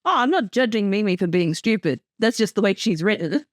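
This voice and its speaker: A woman giving casual, wry co-commentary in a relaxed chat. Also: mid-range voice, fairly quick, no room sound, clean studio sound.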